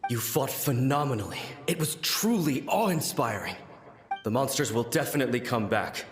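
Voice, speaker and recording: A man's voice: mid-range voice, lively intonation, moderate pace, close to an everyday voice. A man voicing a loyal, dutiful samurai soldier with a formal, earnest manner in a video game, in roomy sound over music.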